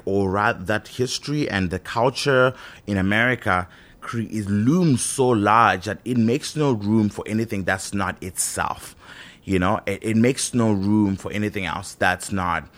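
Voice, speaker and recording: A man who grew up in Zimbabwe, talking thoughtfully in a casual monologue, pausing and restarting often with "you know". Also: lowish voice.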